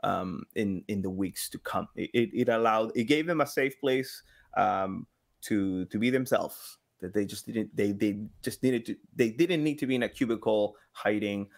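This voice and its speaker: A man in his thirties chatting casually as a podcast guest, thinking aloud haltingly and voicing imagined quotes. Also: fairly low pitch, vocal fry. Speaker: podcast guest, game sound designer. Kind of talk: casual interview chat. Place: small home room with slanted ceiling, indoors.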